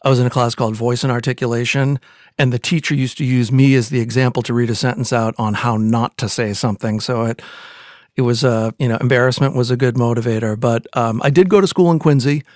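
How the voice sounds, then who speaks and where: A man's voice: mid-range voice, fairly even intonation, quick pace, darkish tone, no room sound, clean studio sound. Friendly talkative man from Boston. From Boston, USA. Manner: friendly, talkative, easy laugh. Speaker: musician guest. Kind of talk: interview conversation.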